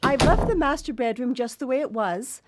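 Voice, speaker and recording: An actress plays an older mother in grief in a radio drama. She is stiff and reproachful, with a somewhat high, bitter voice. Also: normal speed, very noisy, natural voice.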